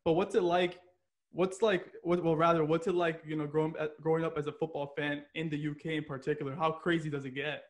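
Man, American accent, interviewer, chatty, frequent laughs, filler words, slightly high. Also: level tone, quick pace. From United States. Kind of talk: casual interview.

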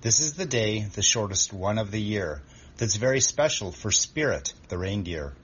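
A low, slightly husky Christmas elf, voiced by a man recites storybook rhymes. The delivery is earnest and measured, and the recording sounds dull. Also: animated. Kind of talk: rhyming children's verse reading.

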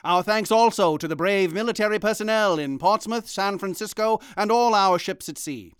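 A man's voice: high voice, fairly bright tone, clean tone, no echo, studio quality. A man playing a grave, formal news anchorman in a vintage-style radio drama. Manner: grave, formal, deliberate announcing. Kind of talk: vintage radio newscast acting.

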